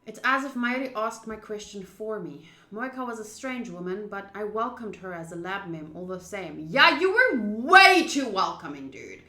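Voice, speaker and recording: A young woman with an expressive, chatty manner, reading a visual novel aloud and commenting as she plays. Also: medium pitch, normal speed, hardly any pauses. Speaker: streamer playing a visual novel. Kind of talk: gaming commentary, reading story text aloud. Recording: roomy, echoing voice.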